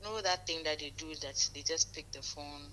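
Bright, forceful woman, lowish pitch. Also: brisk, a little hiss or hum, rough recording. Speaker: caller. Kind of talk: casual chat. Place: on the other end of a phone call.

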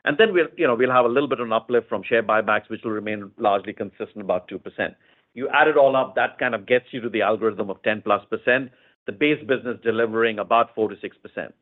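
A man speaking as a company executive, giving measured, explanatory answers in a semi-formal earnings call style, often repeating phrases. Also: fairly low pitch. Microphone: conference call line. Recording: narrow tinny phone-line sound.

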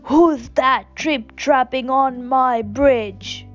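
A woman voicing a mean, hairy old male troll in a storybook, growling out slow, menacing demands. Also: sits high, dull audio, soft background music. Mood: growling menace.

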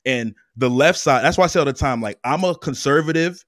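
A man's voice: mid-range voice, brisk, a bit bright, no echo, clean studio sound. A man in a casual podcast discussion, making his point steadily and with emphasis. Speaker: podcast guest.

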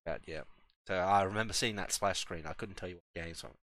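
A man's voice: low voice, fast talker, a bit bright. A man hosting a casual podcast, laid-back and often repeating words as he starts his sentences. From Australia. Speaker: podcast host.

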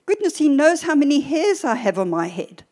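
An older woman preacher teaching a church sermon in a deliberate, emphatic way, with short pauses and repeated phrases. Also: high voice, sing-song, a little mellow.

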